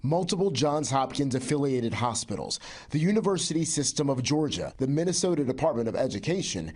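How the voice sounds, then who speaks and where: A male news correspondent delivering a measured, informative report. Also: mid-range voice, expressive, a little mellow.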